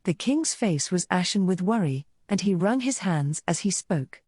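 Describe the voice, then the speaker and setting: A woman narrating a mythic visual novel in a measured, solemn, scripted style. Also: lowish voice, lively intonation, a little mellow, slightly rough, no echo, clean studio sound.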